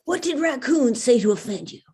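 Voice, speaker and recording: A woman voicing a small, earnest, apologetic cartoon animal in a table read, husky and fussily placating. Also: high-pitched, animated, brisk, dark tone, exaggerated voice.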